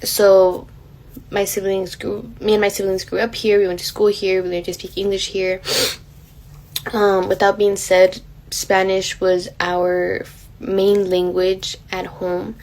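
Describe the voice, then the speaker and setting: Young woman, student testimony, chatty but hesitant, flat monotone delivery. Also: medium pitch, a little slow. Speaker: student interviewee. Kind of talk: personal testimony.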